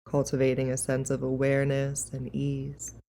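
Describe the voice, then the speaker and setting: A woman leading a guided meditation in a calm, gentle, unhurried and soothing way. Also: rumbling low, slight background noise.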